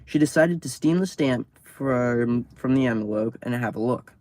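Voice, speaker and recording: A man reading horror stories on a live stream, outdoors at night, casual and tripping over words, his voice going hoarse. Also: mid-range voice, moderate pace, darkish tone, no room sound. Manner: swears freely, stumbles over words. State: losing his voice.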